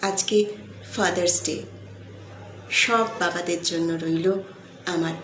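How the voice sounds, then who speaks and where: Lady telling a heartfelt story, earnest with pauses, roomy echo, bit of noise. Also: on the low side, fairly even intonation, fairly bright tone. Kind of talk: slow, sentimental motivational storytelling. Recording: echoey room, faint background noise.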